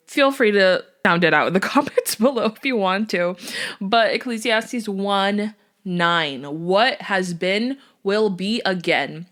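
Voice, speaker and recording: A woman's voice: mid-range voice, fairly bright tone. A young woman whose first language is Portuguese, hosting a faith-based podcast in an earnest, chatty way. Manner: earnest, chatty, with fillers and small laughs. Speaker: podcast host.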